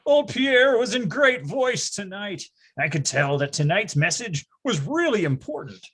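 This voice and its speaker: A performer playing an excitable, grandiose narrator recalling a nine-year-old's boyhood in a theatrical readers theatre style. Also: medium pitch, measured pace, slightly rough, heavily acted. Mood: intense.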